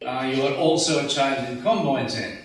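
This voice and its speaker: A man's voice: medium pitch, normal speed, close to an everyday voice. A man playing an earnest German professor who lectures on human nature, with a German accent. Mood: curious. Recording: echoey room, distant mic, faint hiss.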